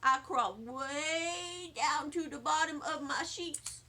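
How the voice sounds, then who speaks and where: A woman reading a children's storybook aloud in an expressive narrating style. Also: sits high, slow pace. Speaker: storybook reader, teacher.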